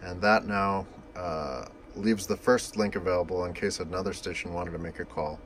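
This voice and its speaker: A man's voice: deep voice, fairly even intonation, moderate pace, fairly bright tone, slight background noise. A man giving an informal, unscripted technical demonstration in a matter-of-fact, explanatory way, recorded indoors on a camera microphone. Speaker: demonstrator of vintage telephone equipment. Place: indoors beside an equipment cabinet.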